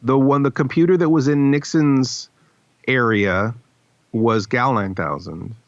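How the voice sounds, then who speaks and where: A man's voice: medium pitch, measured pace, dry recording. A man hosting a podcast in casual, wry, teasing chat. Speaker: podcast host. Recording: slightly dull, dry recording.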